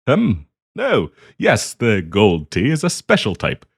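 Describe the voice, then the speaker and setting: A man's voice: on the low side, wildly swooping pitch, takes it slowly, gravelly, slightly rough, full character voice. A man playing a polite, hesitant half-dragon diplomat in a fantasy roleplay audio, genteel and eager to please as he hosts the listener. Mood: welcoming, flustered. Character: polite, flustered half-dragon diplomat hosting tea in his cave.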